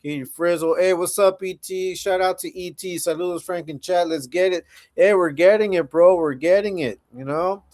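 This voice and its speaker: A man's voice: high-pitched, normal speed, a little mellow. A man in his forties hosting a casual livestream, chatting laid-back with viewers and often repeating himself. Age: 40s. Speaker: livestream host.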